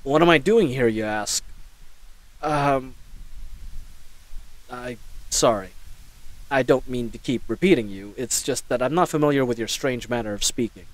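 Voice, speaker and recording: A man's voice: medium pitch, a little slow, pauses often, no echo, natural voice, poor audio. A man playing a flustered, chatty knight in a scripted audio roleplay, rambling nervously and stammering. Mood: pleading, rambling. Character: babbling, flustered young male knight caught in a snare.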